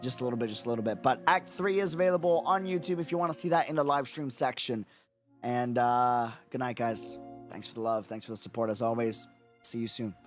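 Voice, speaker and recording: A man streaming casually late at night, his voice raspy and hoarse from no sleep, rambling and repeating himself with lots of fillers. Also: mid-range voice, brisk, faint music behind. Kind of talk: casual livestream chat.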